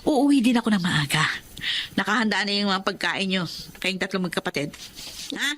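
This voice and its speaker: A woman's voice: medium pitch, expressive, normal speed, fairly bright tone, slight background noise, lightly acted. An actress playing a demanding, brusque Filipino mother in a radio drama, with a pushy, scolding manner. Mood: brusque, hurried. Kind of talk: Tagalog radio drama acting.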